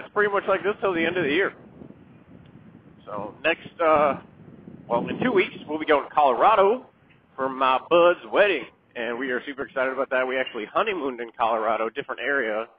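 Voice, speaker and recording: A middle-aged man riding a motorcycle, chatting casually and joking about himself, with plenty of fillers as he goes. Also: fairly high pitch. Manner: rambling, joking, lots of fillers. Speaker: motorcycle vlogger. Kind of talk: casual self-deprecating chat while riding. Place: outdoors on a moving motorcycle. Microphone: helmet mic while riding. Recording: tinny muffled helmet mic with road noise.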